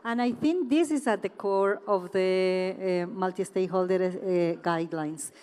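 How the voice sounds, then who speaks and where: A woman speaking on a conference panel in a measured, deliberate way with many fillers and restarts. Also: fairly low pitch, warm tone, clean tone. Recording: reverberant conference hall.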